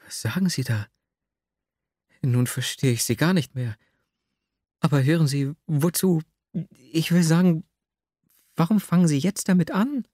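Distraught young woman from a literary audiobook, played by a man: lifted pitch, impulsive, pleading, close dry recording. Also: moderate pace, no room sound. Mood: confused, startled. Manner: impulsive, emotional, exclamatory. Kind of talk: dramatic literary audiobook reading.

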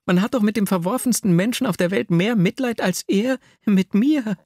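A man's voice: high-pitched, normal speed, darkish tone, dry recording, studio quality, voice pushed up. A man voicing a distraught, jilted young woman in an audiobook, emotional and pleading, given to exclamations. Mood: anguished, outraged. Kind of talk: dramatic literary audiobook reading.

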